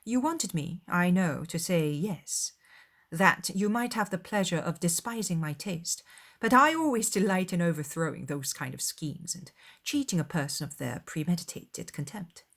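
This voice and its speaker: A woman's voice: lowish voice, animated, moderate pace, creaky, fairly bright tone, full character voice. A woman voicing a witty, spirited young lady in a dramatised audiobook, speaking with pointed, arch politeness. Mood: playfully defiant. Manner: articulate, pointed, archly polite. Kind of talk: dramatised audiobook of a classic novel.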